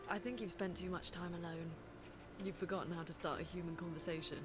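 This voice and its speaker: An actress playing a serious, reserved female researcher in a video game, measured, matter-of-fact and dry in her speech. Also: on the low side, steady tone, noisy background, natural voice. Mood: dry, guarded. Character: serious, reserved adult woman, scholarly researcher. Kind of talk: naturalistic video game cutscene acting. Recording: background music over dialogue.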